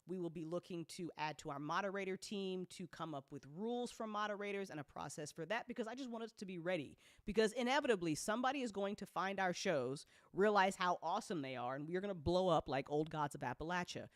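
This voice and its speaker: A woman's voice: fairly low pitch, quick pace, few pauses, no echo, clean studio sound. A woman hosting a casual update talk as an audio drama producer, speaking bluntly and informally with playful slang and free swearing.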